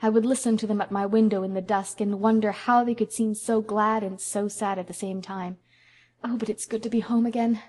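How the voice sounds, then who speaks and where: A woman's voice: medium pitch, steady tone, fairly quick, close to an everyday voice. Dreamy young woman, gushy and poetic, read by a woman narrator. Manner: lyrical, warm and gushing.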